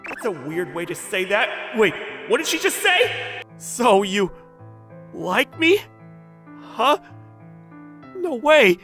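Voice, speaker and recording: A man's voice: on the high side, animated, moderate pace, bright, forceful tone, faint music behind. A man playing an earnest, easily flustered young factory owner in love, in a manga dub. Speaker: voice actor, lead and narrator. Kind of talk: dramatized manga dub with inner monologue.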